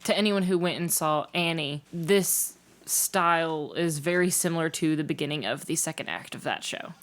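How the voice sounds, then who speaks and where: A woman's voice: low voice, normal speed. A woman interviewing a guest in a casual, conversational way as a podcast host.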